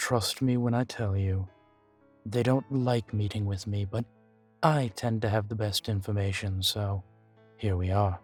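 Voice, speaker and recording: A man playing an ancient vampire in an audio roleplay, guarded, weary and grave, speaking in a measured, deliberate way with dramatic pauses. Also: fairly low pitch, fairly even intonation, soft background music. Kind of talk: scripted audio roleplay addressed to the listener.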